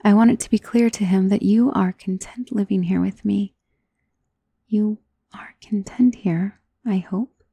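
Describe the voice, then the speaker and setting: A woman playing a centuries-old, wealthy vampire in an ASMR roleplay, doting and possessive, speaking in a soft, intimate, genteel and commanding manner. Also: mid-range voice, steady tone, vocal fry, husky, no room sound. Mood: tender, possessive. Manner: soft, intimate, genteel, unhurried endearments, often dropping into whisper. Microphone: close microphone in a dry space.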